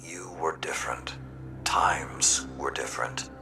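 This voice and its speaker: Gruff old warlord, extremely deep gravel. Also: male voice, takes it slowly, whispered, full character voice. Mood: disapproving. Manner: terse, severe. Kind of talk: video game cutscene acting. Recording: loud music behind voice.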